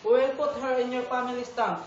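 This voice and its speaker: A woman playing a calm scheming priest. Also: a little high, fast talker, lightly acted. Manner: steady, explaining plans. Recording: echoey hall, far off, dull, noisy.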